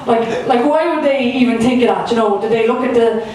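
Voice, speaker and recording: A woman's voice: on the high side, a little fast, crowd noise. A young Irish woman performing her first stand-up set, chatty and self-mocking, with lots of fillers. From Ireland. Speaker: stand-up comedian. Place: comedy club stage. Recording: echoey room, from far off.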